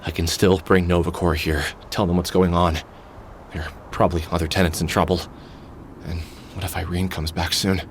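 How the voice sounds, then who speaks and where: A man's voice: deep voice, flat delivery, moderate pace, a little hiss or hum. An actor playing a weary, sardonic middle-aged man who broods and mutters to himself in a video game. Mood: tense, weary. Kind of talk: video game voice acting, brooding inner monologue and dialogue.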